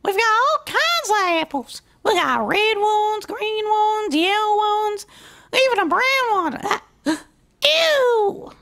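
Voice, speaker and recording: A woman voicing a brash, loud young filly pony who hollers to sell apples. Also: lively intonation, takes it slowly, gravelly, heavily acted. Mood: hollering. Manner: shrill, shouting, country twang.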